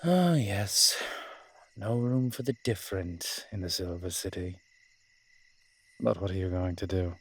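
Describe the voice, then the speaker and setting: A very deep, husky incubus demon played by a man talks straight to the listener in a roleplay audio drama. He is wry and flirtatious, teasing with a sardonic edge, and takes his time with dramatic pauses. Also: exaggerated voice. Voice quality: husky, breathy low voice.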